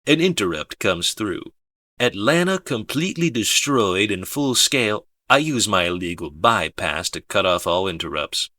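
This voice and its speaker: A man narrating a first-person audiobook in a measured, understated way. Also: on the low side, very animated, bright, forceful tone, no echo.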